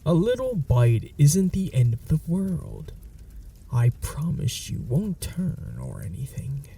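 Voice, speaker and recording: A man's voice: mid-range voice, gravelly, warm tone, husky, distant mic, exaggerated voice. A young man voicing a smug, possessive male vampire in an ASMR roleplay, mocking and dropping into whispers.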